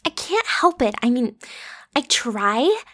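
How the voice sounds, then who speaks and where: A woman's voice: fairly high pitch, sing-song, slow, a bit bright, heavily acted. A woman playing a bratty, playful popular student girl in an ASMR roleplay, with casual, slangy, teasing delivery. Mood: defensive, teasing. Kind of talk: ASMR roleplay acting, one side of a conversation.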